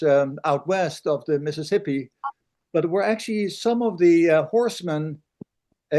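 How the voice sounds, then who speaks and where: Older guy, kinda high voice, lots of uh and um, rambles, video call. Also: darkish tone. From Netherlands. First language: Dutch. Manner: rambling, many fillers. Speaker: audience member asking a question.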